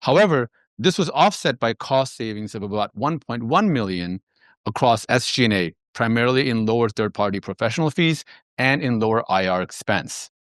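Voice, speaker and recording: A man speaking as a finance executive on an earnings call, presenting in a measured, businesslike way. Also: mid-range voice, lively intonation, no echo, clean studio sound.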